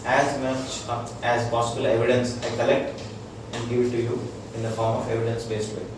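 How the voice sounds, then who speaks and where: A man's voice: medium pitch, monotone, fairly quick. A man with an Indian accent, a doctor giving an informal lecture to a small group, explaining in short repeated phrases and checking that his listeners follow. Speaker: doctor teaching integrative medicine. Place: room with a small audience. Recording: echoey room, distant mic, noisy.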